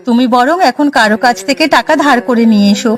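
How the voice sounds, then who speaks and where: A woman's voice: a little high, quick pace, close to an everyday voice. A woman playing a concerned, practical village housewife in a cartoon, speaking in an earnest way. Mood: resolute. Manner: earnest, questioning. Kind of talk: Bengali cartoon story acting.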